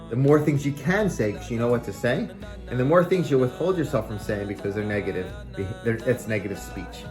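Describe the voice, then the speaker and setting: A man speaking as a rabbi giving a short, explanatory religious lesson, stressing key phrases in a didactic way. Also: medium pitch.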